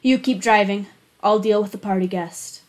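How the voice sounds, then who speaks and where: A woman reading as a calm, tough male secret agent. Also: mid-range voice, normal speed, natural voice.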